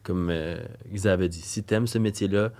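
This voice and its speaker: A man's voice: low-pitched, steady tone, normal speed, a little mellow. A young man with a Québécois accent co-hosting a coaching podcast, talking in an earnest, rambling way that trails off mid-thought. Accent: Québécois French. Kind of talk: casual chat.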